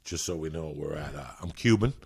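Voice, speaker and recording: A man in his fifties, born in Cuba and raised around New York, tells stories fast and profanely. His voice is very deep, gravelly and husky, and it sounds a little stoned. It is recorded dry and close. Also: darkish tone, dry recording. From Cuba, raised in New York and New Jersey. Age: 50s. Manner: profane, streetwise, rapid storytelling. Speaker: guest comedian. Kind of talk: storytelling.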